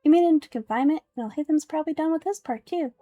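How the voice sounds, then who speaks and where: A woman voicing a tiny, excitable, childlike female fairy companion from a video game, perky and boastful with a squeaky voice. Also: racing, lo-fi sound, loud music behind the voice, lightly acted. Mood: excited, proud. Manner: perky, boastful, speaks of self in third person.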